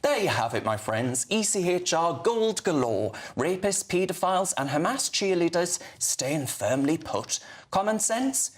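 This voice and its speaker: A man's voice: sits high, big pitch swings, measured pace. A man presenting a sarcastic satirical segment on TV, theatrical and mocking, laughing at his own jokes. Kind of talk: sarcastic comic monologue with mock letters.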